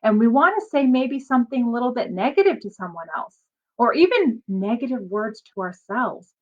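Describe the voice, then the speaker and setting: Animated, high-voiced woman reading to children. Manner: animated, drawn-out emphasis, explains to children as she goes. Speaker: teacher reading aloud to children. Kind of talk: expressive children's storybook read-aloud with asides. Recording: dull lo-fi sound.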